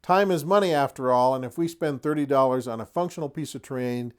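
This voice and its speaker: A man's voice: mid-range voice, quick pace, smooth. A middle-aged man hosting a hobby channel in a casual, folksy way with wry asides. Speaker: host of a tabletop wargaming channel. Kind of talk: casual product showcase to camera.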